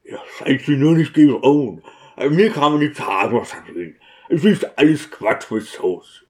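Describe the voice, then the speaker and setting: A man's voice: mid-range voice, big pitch swings, unhurried. A man voicing an eager, boastful deacon in an audiobook, using affected, oddly stressed expressions. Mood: eager, dismissive. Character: eager, boastful deacon showing off newly learned city slang.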